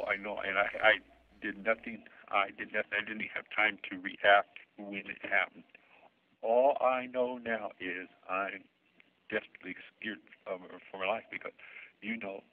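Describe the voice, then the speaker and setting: A man in his sixties with a deep, low voice and a speech impediment. He tells his story haltingly and keeps repeating words. The audio is thin and tinny, like a phone line. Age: 60s. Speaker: interviewee. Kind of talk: personal account.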